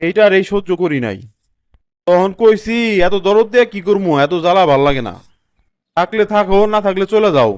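Henpecked cartoon husband male actor: high-pitched, crisp, Bangladeshi accent, grumbling and fed up. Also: expressive, a little fast, a little mellow, dry recording, clean studio sound. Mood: aggrieved. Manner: aggrieved, grumbling. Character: exasperated, complaining young husband, henpecked. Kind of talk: comic cartoon dubbing.

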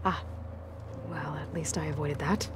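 A woman's voice: sits low, steady tone, a little slow, background noise. A woman playing a determined, sincere young warrior in a video game, speaking in an earnest, understated and dryly wry way. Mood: casual, dry. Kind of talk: video game dialogue acting. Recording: music playing behind the voice.

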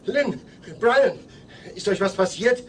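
A man's voice: sits high, slow, slight background noise. A man playing an earnest, patient, slightly pedantic middle-aged father in a comedy radio play. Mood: worried. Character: earnest, fussy, patient suburban family father.

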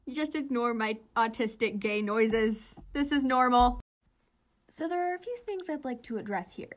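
High-voiced, gushing young woman. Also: animated, moderate pace. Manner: gushing, giggly, sweary. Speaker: fan comic dubber. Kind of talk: reading comics aloud with casual fan reactions.

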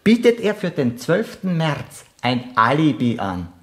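Animated, eager Viennese police detective. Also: male voice, on the high side, measured pace. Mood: eager, confiding. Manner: colloquial, confiding. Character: male junior police detective, eager and deferential. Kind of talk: radio crime drama acting.

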